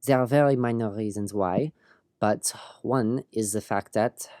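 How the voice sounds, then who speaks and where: A woman playing a calm, clinical spaceship doctor who speaks in a measured, word-searching way in an audio drama. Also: very deep voice, no echo, clean studio sound. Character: calm, clinical ship's doctor slipping into French.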